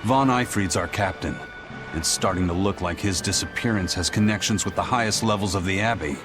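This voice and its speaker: A stern, composed pirate officer from a game, acted by a man speaks in a low voice. The delivery is measured and matter-of-fact, with music clearly behind it. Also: natural voice. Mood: grave. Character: stern, composed male pirate first mate. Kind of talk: dramatic game voice acting.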